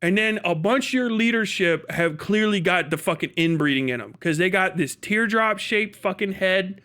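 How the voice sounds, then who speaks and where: An American man with a notably high voice talks like a livestream host reacting off the cuff. His delivery is crude and mocking, full of casual swearing, and it wanders as he goes. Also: lively intonation, moderate pace, bright, forceful tone. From United States. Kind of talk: casual profane commentary.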